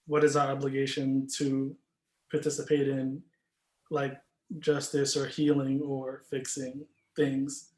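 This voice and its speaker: Even-toned man in an echoey room. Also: medium pitch, slow pace. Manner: questioning, informal, hedging. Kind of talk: casual panel discussion. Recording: echoey, reverberant room sound.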